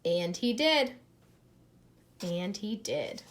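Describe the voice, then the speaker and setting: A woman reading a picture book aloud in an expressive, teacherly way, pausing to address young listeners with questions. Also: medium pitch. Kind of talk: expressive children's read-aloud with asides and questions.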